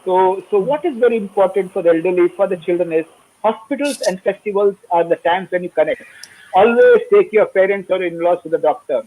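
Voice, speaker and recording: A man's voice: high-pitched, brisk, mellow, a little hiss or hum, low-quality recording. A man hosting a panel discussion, repeating phrases as he gives advice. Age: 60s. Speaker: host.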